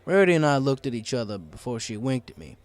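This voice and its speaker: A man's voice: medium pitch, big pitch swings, quick pace, no echo. A man reading a story aloud as first-person narrator.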